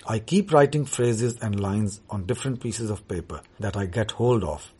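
A man whose first language is Urdu, talking about his writing as a poet in a measured, deliberate way. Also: lowish voice, dark tone, breathy edge. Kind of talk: reflective talk on his writing.

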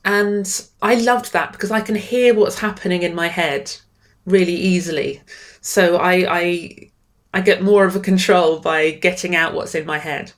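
A woman's voice: on the low side, normal speed. A middle-aged woman writer in an interview, speaking with enthusiasm and often restarting or repeating words. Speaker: writer and guest. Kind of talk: conversational interview.

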